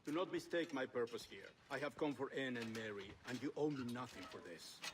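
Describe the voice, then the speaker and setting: A man's voice: mid-range voice, background noise, close to an everyday voice. A man playing a grave assassin mentor. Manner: grave, measured. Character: stern, measured male assassin mentor. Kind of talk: video game cutscene acting.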